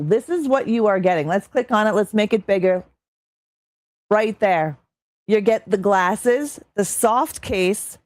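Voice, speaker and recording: Young canadian gal streaming, chatty and hyped, voice kinda strained, dead dry sound. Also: female voice, mid-range voice, dry recording. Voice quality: slightly strained voice. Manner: excitable, chatty, many fillers. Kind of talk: casual live-stream chat reading chat.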